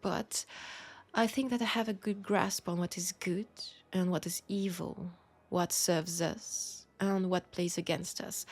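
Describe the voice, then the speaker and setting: Slow, low French-accented vampire woman, acted out by a woman. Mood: solemn. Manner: slow, deliberate, soft-spoken, slipping into whispers. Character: ancient, lonely, seductive female vampire, tender and grave. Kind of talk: intimate scripted audio roleplay.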